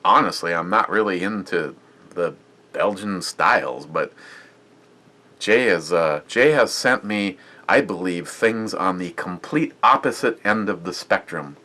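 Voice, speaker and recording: A man's voice: low voice, lively intonation, a little slow, sharp tone. A man casually reviewing a beer on his vlog, rambling and chatty with lots of fillers and restarts.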